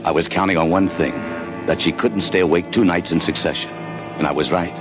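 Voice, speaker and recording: A man's voice: deep voice, fairly even intonation, fairly quick, lightly acted. An actor playing a tough, hard-boiled adventurer who narrates his own story in clipped 1940s radio style. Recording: old radio broadcast with music bed.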